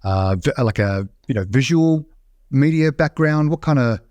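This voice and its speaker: Male podcast host, mid-pitched, relaxed conversational questions, dry close mic. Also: unhurried, no room sound. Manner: casual, asks rambling multi-part questions. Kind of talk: casual interview chat.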